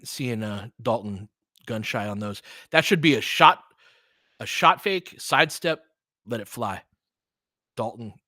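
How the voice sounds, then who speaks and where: A man's voice: mid-range voice, sharp tone, dry recording, studio quality. A man giving informal basketball play-by-play and analysis, conversational and opinionated, with some mild swearing. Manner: conversational, opinionated, mildly profane. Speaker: sports commentator, host.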